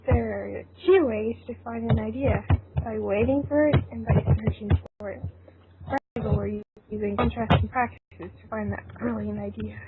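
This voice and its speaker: A woman's voice: fairly high pitch, steady tone, moderate pace. A woman reading scripted narration for an amateur fan fiction audio drama. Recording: tinny phone-like audio, clearly noisy.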